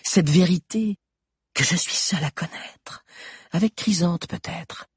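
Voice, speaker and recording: A man's voice: high voice, slow, husky, no room sound, studio quality, voice pushed up, exaggerated voice. Man putting on a taunting witch queen. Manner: taunting, triumphant. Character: scheming female witch queen.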